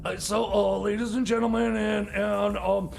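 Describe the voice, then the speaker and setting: Flustered man with a very high, creaky voice. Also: level tone. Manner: flustered, halting, stammering, apologetic. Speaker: radio show host. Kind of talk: comedy radio banter.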